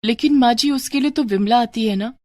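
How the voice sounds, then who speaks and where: A woman's voice: fairly high pitch, brisk, mellow, dry recording, voice pushed up, lightly acted. A woman voicing a young newlywed daughter-in-law in a moral-story cartoon, polite, hurt and pleading.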